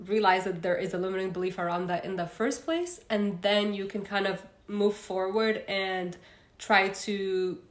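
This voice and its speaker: A woman's voice: mid-range voice, fairly even intonation, normal speed. A young woman from Egypt hosting a casual self-help livestream, talking loosely with fillers and stopping to answer viewer comments. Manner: chatty, reads out viewer comments, frequent fillers. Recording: roomy echo.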